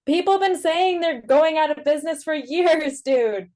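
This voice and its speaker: A woman's voice: sits high, moderate pace, a little mellow, lightly acted. A woman reading the part of a guarded, principled sixteen-year-old emo shop worker, blunt and dry, in a drama table read. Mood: defensive.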